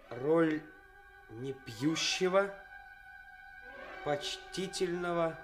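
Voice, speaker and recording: An actor playing a nervous, self-mocking young stage actor in a radio play, theatrical and wry. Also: male voice, medium pitch, natural voice. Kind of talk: 1980s Soviet radio play acting.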